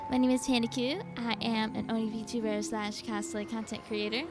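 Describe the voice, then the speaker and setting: High-voiced woman streaming over game music. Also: little pitch movement, moderate pace. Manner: playful, easily distracted, chatty with viewers. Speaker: livestreamer, VTuber and cosplay content creator. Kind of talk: casual gameplay stream chat. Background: game music and dialogue.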